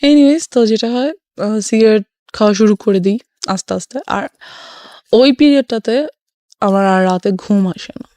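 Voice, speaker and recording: A woman's voice: mid-range voice, normal speed, long pauses, dark tone, no room sound. A young Bangladeshi woman telling her personal story as a radio interview guest, mixing English phrases into her speech. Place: radio studio.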